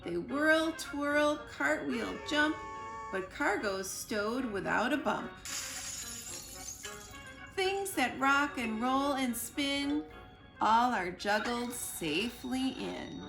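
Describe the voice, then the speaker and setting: A woman reading a children's picture book aloud in an expressive storytime style, with deliberate, playful emphasis on the rhyming words. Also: high voice, gravelly. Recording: roomy echo with music behind. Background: music.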